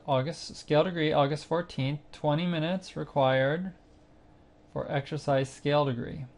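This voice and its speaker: A man narrating a screencast tutorial, explaining a music training website step by step in a plain, unhurried way with occasional fillers. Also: on the high side, a little mellow. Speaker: site instructor demonstrating software.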